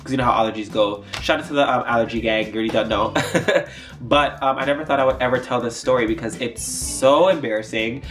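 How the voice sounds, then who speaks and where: A man's voice: mid-range voice, fast talker, fairly bright tone, faint music behind. A young man telling a storytime vlog in a rambling, chatty way with lots of fillers, his nose stuffed up. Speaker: YouTuber.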